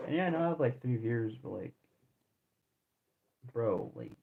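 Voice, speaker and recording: A man's voice: mid-range voice, big pitch swings, normal speed, creaky, slight background noise. A man in his twenties chatting casually to viewers while streaming a game, rambling and laid-back with a lot of slang and restarts. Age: 20s. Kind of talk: casual live-stream chat while playing. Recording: tinny phone-like audio.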